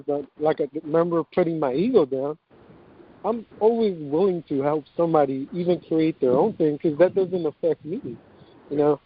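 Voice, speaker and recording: A man's voice: a little high, husky. A man chatting casually on a group call in a loose, informal way, acting out little example quotes as he goes. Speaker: business owner on a group call. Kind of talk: casual chat. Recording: thin, tinny phone line.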